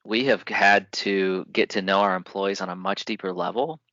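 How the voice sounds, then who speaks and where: Deep-voiced man hosting a podcast. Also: level tone, normal speed, sharp tone, dry recording, lo-fi sound. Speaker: podcast host. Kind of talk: podcast interview.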